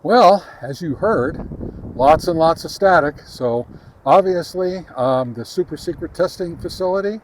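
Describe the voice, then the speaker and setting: Casual man, halting and conversational. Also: fairly high pitch, lively intonation, slight background noise, low-quality recording. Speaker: radio hobbyist reviewer and host. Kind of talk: casual on-location product test talk to camera. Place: outdoors, on a back deck and in a park.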